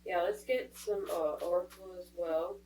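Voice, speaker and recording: Woman, congested, casual tarot reader, chatty, somewhat low voice, distant mic, faint background hum. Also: steady tone, measured pace, a little mellow. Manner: chatty, thinking aloud with fillers. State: stuffed up from a cold.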